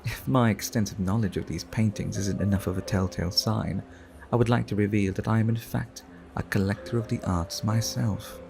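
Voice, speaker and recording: A man playing a suave, refined vampire art collector in an ASMR roleplay, speaking in a formal, courteous, flirtatious and measured way. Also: on the low side, steady tone, creaky. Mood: courtly, musing.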